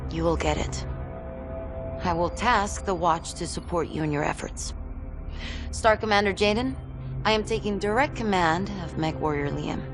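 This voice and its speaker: Casting: commanding female colonel for a sci-fi game, actress, lowish voice, clipped and formal authority, over a music bed. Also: normal speed, close to an everyday voice. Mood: stern, commanding. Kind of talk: scripted video game cutscene acting.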